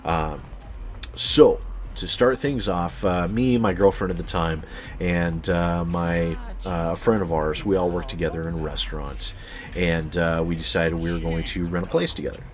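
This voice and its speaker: A man's voice: low-pitched, steady tone, moderate pace. A man telling a casual, rambling personal story as a channel host, swearing freely with exaggerated emphasis.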